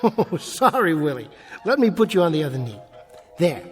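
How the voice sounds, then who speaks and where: A polite, placating stage ventriloquist, the straight man of the act. His radio play delivery is lively and a little nervous, over faint music. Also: a little high, moderate pace. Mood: sheepish.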